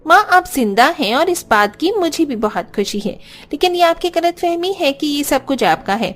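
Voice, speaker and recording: Woman voicing a haughty scheming daughter, high and animated. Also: moderate pace. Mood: smug, defiant. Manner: haughty, commanding. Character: scheming, arrogant young married daughter evicting her family.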